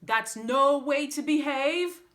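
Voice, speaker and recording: A woman voicing an alarmed, fussy male village pastor in a storybook reading, crying out in loud repeated exclamations. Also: sits high, slow pace, voice pushed up. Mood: scolding alarm.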